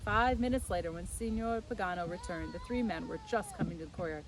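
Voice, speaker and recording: A woman narrating a children's novel aloud in an expressive storytelling style. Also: on the low side, fast, slight background noise. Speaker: storybook reader.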